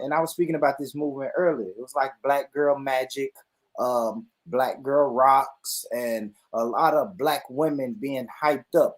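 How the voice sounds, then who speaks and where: Slangy guy hosting a panel stream, kinda high voice, chill and loose. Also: a little mellow. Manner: loose, slangy, asks questions. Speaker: host. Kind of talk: casual panel talk.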